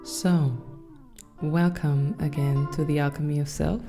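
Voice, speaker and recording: A woman in her thirties with a German accent, hosting her own podcast and speaking softly and thoughtfully with some hesitant fillers. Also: low-pitched, steady tone, measured pace, faint music behind. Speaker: podcast host. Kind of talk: reflective solo podcast talk.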